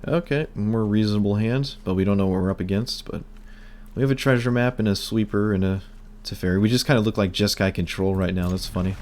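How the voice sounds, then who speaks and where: A man streaming a card game, talking through his plays in casual commentary, hoarse and losing his voice, wry and muttering at bad luck. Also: fairly low pitch, fairly quick, a little mellow. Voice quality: breaking, cracking voice.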